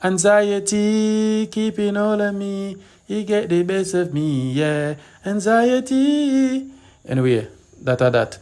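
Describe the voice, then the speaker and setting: A man's voice: high voice, takes it slowly, darkish tone, clean tone. A man with a Jamaican accent hosting a casual online gossip talk, chatting directly to followers with Patois-inflected asides. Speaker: online show host.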